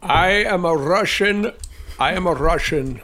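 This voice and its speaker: Male voice actor, slightly high voice, dry self-mocking humor. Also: unhurried, sharp tone. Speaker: voice actor guest. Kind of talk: casual chat.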